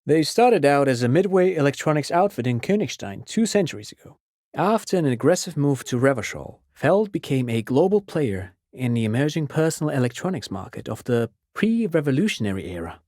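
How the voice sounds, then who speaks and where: A man's voice: mid-range voice, very animated, brisk, a little mellow, dry recording, clean studio sound, close to an everyday voice. A male actor playing a polite, enthusiastic, pedantic intellectual in a video game, explaining things in an erudite, courteous way. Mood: informative, keen. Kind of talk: video game dialogue acting.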